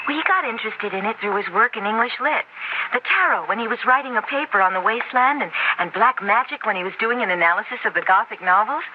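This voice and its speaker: An actress plays a young American college woman in a 1970s radio drama. Her high voice swings widely, caring and quick to alarm. The old recording is dull and clearly noisy. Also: expressive, natural voice. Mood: reassuring, earnest. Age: young adult. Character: young female college student, sensible, caring, easily alarmed.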